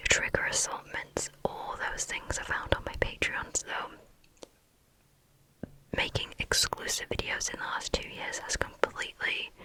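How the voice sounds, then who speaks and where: Bright, crisp whispering woman. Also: rough recording. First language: English. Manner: frank, chatty whisper with many ums. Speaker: ASMR creator answering viewer questions. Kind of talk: whispered ASMR Q&A.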